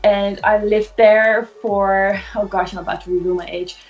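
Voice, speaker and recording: A woman's voice: mid-range voice, fairly even intonation, moderate pace, dull audio, faint music behind. A Dutch woman in her thirties with an Irish accent, chatting casually as a guest illustrator on a video call, laughing often. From Netherlands. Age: 30s. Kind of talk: casual chat.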